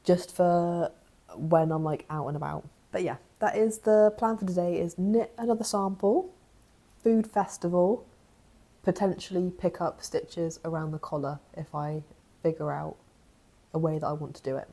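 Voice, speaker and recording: A young woman, croaky from a cough, chatting casually in a knitting vlog as she thinks aloud. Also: fairly low pitch, fairly even intonation, normal speed, warm tone. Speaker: knitting vlogger.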